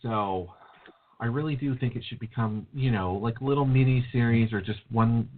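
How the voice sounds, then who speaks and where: A man's voice: medium pitch, moderate pace. Rambling man hosting over a phone-like line. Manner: rambling, many fillers. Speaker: show host. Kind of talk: casual podcast chat. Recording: phone-like call audio.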